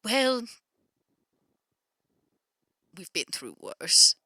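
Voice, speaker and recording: A woman playing an exasperated, sarcastic vampire businesswoman in an improvised roleplay, with a halting, dry delivery. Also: a little high, big pitch swings, sharp tone, breathy edge, dry recording, heavily acted. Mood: guarded, insistent. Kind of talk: improvised tabletop roleplay, phone conversation.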